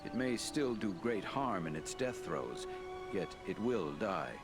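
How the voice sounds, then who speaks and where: An actor playing a wise, noble lord in a fantasy video game, speaking in a grave, measured, formal manner. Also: male voice, sits low, lively intonation, loud music behind the voice. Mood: solemn counsel. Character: wise, solemn, noble lord counselling heroes.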